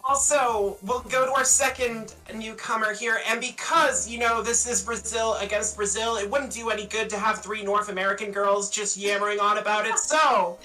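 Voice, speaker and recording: A woman's voice: mid-range voice, fairly even intonation, moderate pace, hardly any pauses, bright, forceful tone. A woman from Canada hosting a live esports stream, with joking and boastful banter. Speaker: host and caster. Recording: distant mic with faint music. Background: music.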